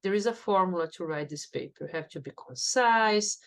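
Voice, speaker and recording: A woman's voice: fairly low pitch, lively intonation, fairly quick. A woman from Brazil with a Brazilian Portuguese accent, talking reflectively as a professor guest in an interview, often saying "you know". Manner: thoughtful, with frequent "you know" fillers. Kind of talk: conversational interview.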